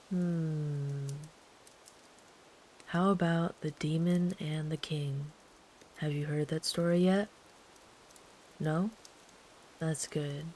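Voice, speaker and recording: A woman playing a kind, protective traveler in an ASMR sleep-aid roleplay, speaking gently and soothingly in a hushed, partly whispered voice. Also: deep voice, a little hiss or hum, poor audio. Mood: tender, reassuring. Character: kind, protective traveler comforting a runaway by a campfire.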